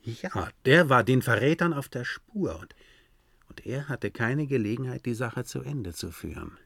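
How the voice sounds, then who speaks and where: Anxious cautious analyst, raspy, very animated intonation, dry studio sound, sci-fi radio drama. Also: male voice, medium pitch, measured pace, no room sound. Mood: startled, puzzled. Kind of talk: German science fiction radio drama acting.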